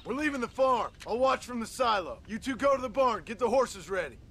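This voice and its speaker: Game role: commanding American frontier rancher father, urgent, sharp-edged raised voice, protective of his family. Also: very high-pitched, animated, brisk, husky, heavily acted. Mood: urgent shouting. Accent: American Western. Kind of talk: dramatic video game acting.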